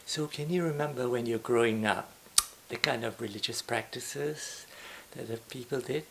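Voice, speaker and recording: Gravelly male interviewer, faint hiss. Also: mid-range voice, moderate pace. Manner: leading, gentle questions. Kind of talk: oral history interview.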